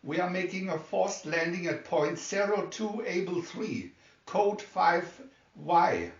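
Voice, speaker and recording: A man's voice: high voice, animated, slow, pitched above the performer's own voice, full character voice. A man playing an urgent craft commander who reports over the radio in clipped, formal phrases. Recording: muffled, echoey room, distant mic.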